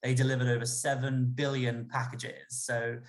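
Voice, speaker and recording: A man speaking for an ocean campaign group, measured and full of fillers. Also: medium pitch, little pitch movement. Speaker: campaign spokesperson. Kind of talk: casual livestream talk.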